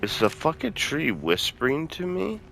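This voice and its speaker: A man's voice: fairly high pitch, wildly swooping pitch, normal speed, creaky, bright, forceful tone, slight background noise. A man giving casual let's-play commentary, talking informally to his viewers and swearing freely. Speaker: gaming video host.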